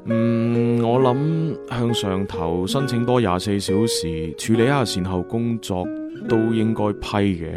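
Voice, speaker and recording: An actor with a Hong Kong Cantonese accent playing a cocky, boastful young agent from the future in a radio drama. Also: male voice, medium pitch, measured pace, lightly acted. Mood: calm, serious. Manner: animated, boastful storytelling. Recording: music behind the voice. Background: background music.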